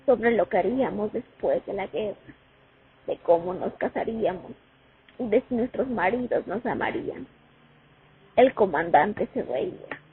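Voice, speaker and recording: A woman playing a war veteran who recalls her years at the front in an expressive radio play monologue. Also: medium pitch, measured pace, pauses often, breathy edge, full character voice. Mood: reminiscing. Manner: reminiscing, expressive, dramatic. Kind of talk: radio play monologue, first-person testimony. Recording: tinny phone-like sound over music. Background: music.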